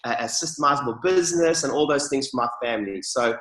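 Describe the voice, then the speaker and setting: A man's voice: mid-range voice, fast talker, fairly bright tone, echoey room, low-quality recording. A man with a South African accent, talking as an enthusiastic, upbeat podcast guest. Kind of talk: casual interview.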